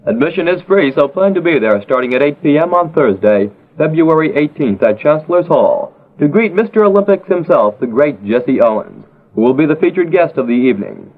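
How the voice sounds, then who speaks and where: Casting: formal American man reading community notices, mid-range voice, narrow tinny old broadcast. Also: medium pitch. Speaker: radio announcer. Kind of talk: formal scripted community event announcement. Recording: tinny, narrow-band old radio recording.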